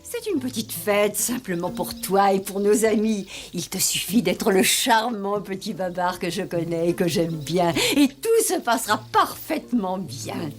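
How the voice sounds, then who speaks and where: A woman voicing a kindly old lady in a French cartoon, refined, gentle and doting as she mentors a youngster. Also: mid-range voice, animated, a little slow, hardly any pauses, faint music behind, close to an everyday voice. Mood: reassuring. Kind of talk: French-dubbed cartoon acting.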